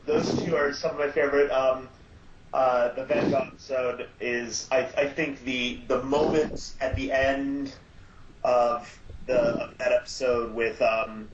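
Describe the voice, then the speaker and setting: Halting man in a roomy lo-fi recording. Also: mid-range voice, fairly even intonation. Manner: halting, many fillers and restarts. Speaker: podcast guest. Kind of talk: casual podcast chat. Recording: roomy, dull lo-fi sound with faint noise.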